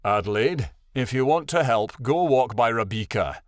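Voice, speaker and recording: A man voicing a steady, commanding male animal leader in a storybook, firm and ordering. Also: medium pitch, normal speed, no echo, studio quality, natural voice.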